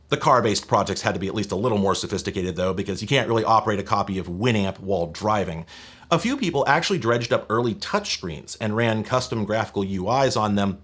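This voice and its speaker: A man in his thirties hosting a tech history video, reading scripted narration in a conversational way with wry, casual asides. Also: on the low side. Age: 30s. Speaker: host of a tech history show.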